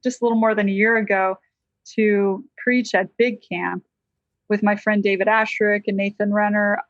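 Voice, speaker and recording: An American woman, a licensed counselor, telling a personal story as a podcast guest in a lively, conversational way. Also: mid-range voice, normal speed, dry recording, highs cut off. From United States.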